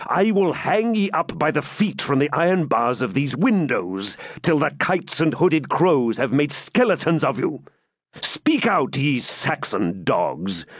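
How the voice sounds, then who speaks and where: A man's voice: mid-range voice, no room sound, studio quality, pitched above the performer's own voice. A man voicing a furious Norman baron. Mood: menacing. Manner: bellowing, threatening, slow and heavy. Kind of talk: audiobook character reading.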